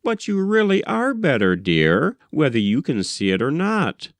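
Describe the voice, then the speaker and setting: A man narrating plays a doting husband and doctor who is sure he knows best. He coaxes and condescends in a smooth, clear voice with swooping intonation. It is storybook dialogue, recorded dry. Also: fairly high pitch, wildly swooping pitch, a little slow, no room sound, pitched above the performer's own voice, exaggerated voice. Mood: patient, patronizing. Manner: coaxing, condescending. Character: doting, patronizing husband, a self-assured doctor. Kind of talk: audiobook character dialogue.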